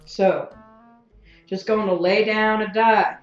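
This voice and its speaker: An actress playing a cool-headed gunslinger pilot in an audio drama, dry, teasing and practical. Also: female voice, mid-range voice, steady tone, takes it slowly, lightly acted. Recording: dull, echoey recording with music. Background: music.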